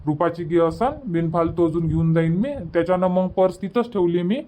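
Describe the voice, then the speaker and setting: A man's voice: high-pitched, a little fast, mellow, close to an everyday voice. A man voicing an impatient, bossy village family man in a cartoon comedy, rambling in colloquial Vidarbha Marathi.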